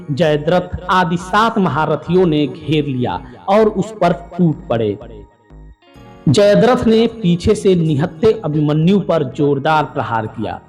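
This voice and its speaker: Male narrator, slightly high voice, measured formal storytelling over faint music. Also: darkish tone. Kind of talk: scripted mythological narration.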